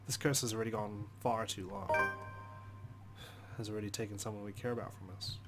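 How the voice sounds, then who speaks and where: A man reading first-person game narration aloud as a let's play host, expressive, with dramatic, deliberate phrasing. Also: lowish voice. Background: music.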